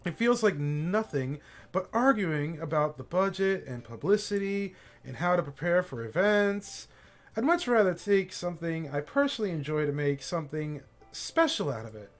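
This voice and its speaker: A man voicing a perky girl club president. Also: high-pitched. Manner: bright, eager, encouraging. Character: cheerful composed teen girl club president. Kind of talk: voicing game characters on a live stream.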